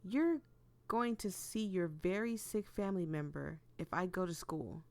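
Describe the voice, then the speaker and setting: A blunt, stubborn young student in a visual novel as a woman acts it out. The delivery is exasperated and argumentative, in a low, creaky voice worn by a cold. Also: a little slow, no echo. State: losing voice with a cold.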